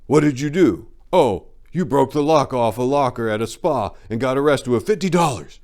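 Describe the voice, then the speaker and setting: Man acting a loud, scornful, raised-pitch tough teacher. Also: lively intonation, normal speed, lightly acted. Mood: scornful taunting. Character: brash teacher taunting jailed punks, loud and scornful. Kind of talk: acted quote in a memoir reading.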